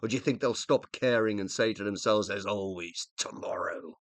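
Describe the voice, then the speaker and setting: An actor in a radio play voicing the uploaded mind of a dead scientist, self-reproaching and loving, with an emotional, hesitant, confessional delivery. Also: male voice, on the low side, normal speed, a bit bright, no room sound, natural voice. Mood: urgent, alarmed.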